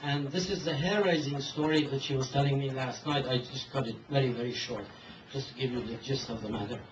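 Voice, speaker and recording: Man, halting speech, lots of fillers, far from the mic, muffled with hiss. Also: mid-range voice, steady tone. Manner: halting, many fillers and restarts. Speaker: interviewer. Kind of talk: hesitant spoken question to a speaker. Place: event hall. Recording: distant mic, dull, roomy hall with hiss.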